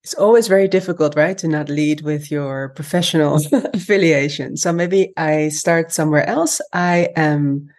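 Woman in her 40s, podcast guest, low voice, measured and pausing as she explains stuff. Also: a little mellow. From Amsterdam, Netherlands. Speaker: podcast guest, human rights lawyer. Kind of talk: conversational personal account in an interview.

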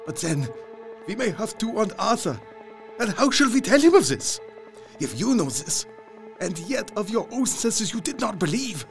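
An older professor and vampire hunter, gravelly and solemn. His voice swoops through a wide range as he speaks with deliberate, halting phrasing. He is grave and resolute, made for gothic audio drama. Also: sits high, heavily acted.

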